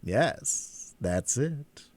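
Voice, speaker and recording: A man's voice: medium pitch, sing-song, extremely slow, a little mellow, no room sound, pitched above the performer's own voice, full character voice. A man reading a storybook aloud and voicing a mournful, rambling eccentric hatter close to his own voice.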